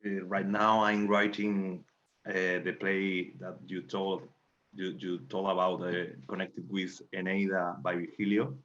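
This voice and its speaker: A man with a Spanish accent talking on a panel in halting English, searching for words. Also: sits low, flat delivery, a bit bright, muffled recording. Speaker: playwright, panel guest. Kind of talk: unscripted panel talk.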